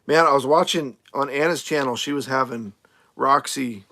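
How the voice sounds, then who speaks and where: A man with a gravelly, slightly hoarse voice chats loosely on a live stream, drifting between thoughts with plenty of fillers. His talk is easygoing and unscripted, and he often restarts his sentences. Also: medium pitch, normal speed, pushed and bright. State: voice getting a little hoarse. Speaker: nomad RV YouTuber answering live chat. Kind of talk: casual live-stream chat. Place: RV camp in the desert, outdoors.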